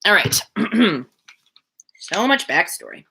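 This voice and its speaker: Woman doing a live novel reading, bright voice, pitch moves around a lot, chatty at times. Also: big pitch swings, slow, vocal fry, slight background noise, low-quality recording. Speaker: reader hosting a daily live book reading. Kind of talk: reading a classic novel aloud, with casual asides.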